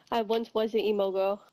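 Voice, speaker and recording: A woman's voice: mid-range voice, flat delivery, measured pace, over a noisy crowd. A woman in casual online game chat, amused and brushing off teasing.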